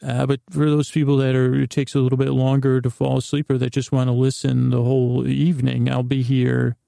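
A man hosting a bedtime podcast, rambling in a halting, meandering, gently silly way with a gravelly, creaky voice. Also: mid-range voice, monotone, no echo, clean studio sound. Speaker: podcast host.

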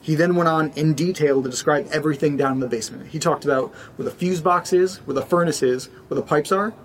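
A man's voice: a little high, quick pace, natural voice. A man playing a curious, defensive young housemate in a naturalistic horror audio drama, speaking in a conversational, wry way. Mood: intrigued. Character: curious, defensive young male housemate investigating a creepy cellar. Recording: clear background noise, room ambience.

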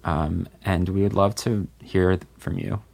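A man's voice: low-pitched, steady tone, measured pace, vocal fry, darkish tone. A man hosting a podcast, chatting casually and rambling with lots of fillers and jokes. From Maryland, USA. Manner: rambling, filler-heavy, joking. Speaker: podcast host. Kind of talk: casual chat.

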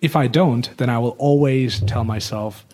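Guy hosting a podcast, mid pitch, chatty and reflective, thinking out loud as he goes. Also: normal speed. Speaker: podcast host. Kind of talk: casual conversational interview.

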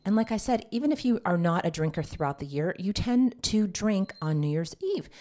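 A woman's voice: mid-range voice, lively intonation, fairly quick, mellow. A woman hosting a health podcast as a nurse practitioner, sounding nasal and congested, chatting straight to her listeners. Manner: chatty, addresses listeners directly as ladies. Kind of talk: casual health podcast talk.

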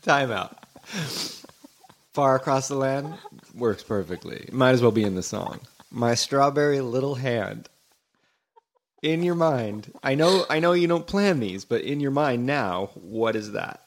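A man with a mid-to-high speaking voice hosts a comedy podcast. He interviews in a playful, casual way, asking curious questions with mock seriousness. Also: animated, measured pace.

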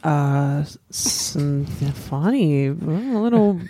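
Giggly, lively woman. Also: low-pitched, a little hiss or hum. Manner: halting, giggly, searches for words. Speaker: podcast guest. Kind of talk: casual chat. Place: rental kitchen.

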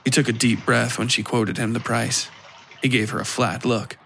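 A man reading scripted dark fiction aloud as its narrator. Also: medium pitch, little pitch movement, moderate pace, gravelly, a little hiss or hum.

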